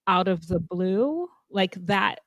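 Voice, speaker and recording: A young woman from Zambia, co-hosting a podcast in animated, thoughtful casual conversation. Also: medium pitch, takes it slowly, no room sound. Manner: animated, thoughtful, laughs often. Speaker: podcast co-host.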